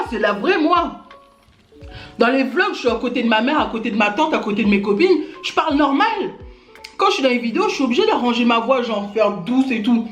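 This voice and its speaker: A Gabon-born woman YouTuber ranting indignantly to her camera, speaking straight at her critics and filling her talk with little tags. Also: fairly high pitch. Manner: indignant, addresses critics directly, many fillers like "hein" and "genre". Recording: echoey room with faint music.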